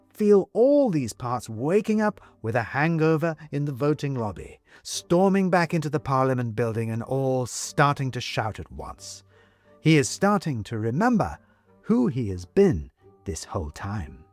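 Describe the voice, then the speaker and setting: A man reading scripted story narration as an audiobook narrator, expressive and measured. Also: medium pitch, dry recording.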